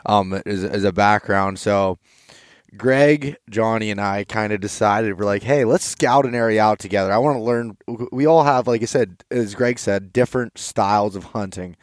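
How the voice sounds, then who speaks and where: Young dude hosting a laid-back outdoor chat, lowish smooth voice, dry close sound. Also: male voice, moderate pace, no room sound. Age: 20s. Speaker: podcast host. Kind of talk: casual chat. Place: outdoors by a campfire.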